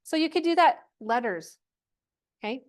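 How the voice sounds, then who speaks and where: Female workshop presenter, higher-pitched voice, chatty and encouraging, informal delivery to a live group. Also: expressive, moderate pace. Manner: chatty, encouraging, checks in with questions and "right?".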